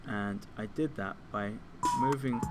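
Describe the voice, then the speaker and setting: Low-voiced man teaching, noisy recording. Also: huge pitch swings, unhurried. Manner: explanatory, step by step. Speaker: music technology teacher. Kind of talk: informal software tutorial narration.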